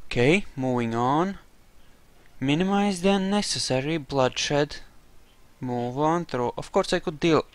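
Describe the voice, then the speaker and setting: Man, gamer commentary, very slow deliberate delivery, musing aloud, clear smooth voice, mid pitch, dry recording. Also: no room sound. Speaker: gamer narrating a roleplay playthrough. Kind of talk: let's play commentary, narrating his character's reasoning.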